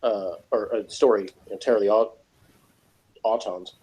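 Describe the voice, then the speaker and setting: A man in a casual review chat, talking in a halting, rambling, opinionated and critical way. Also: on the low side, fairly even intonation, dark tone, poor audio. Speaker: review show co-host.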